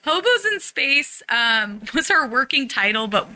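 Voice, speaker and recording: A woman's voice: sits high, big pitch swings, unhurried, sharp tone. An American woman from San Francisco talking reflectively and at length as an interview guest, in a conversational way with casual filler phrases. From San Francisco, USA. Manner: talkative, reflective, peppered with "like" and "you know". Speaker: interview guest, social worker and radio playwright. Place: recording studio.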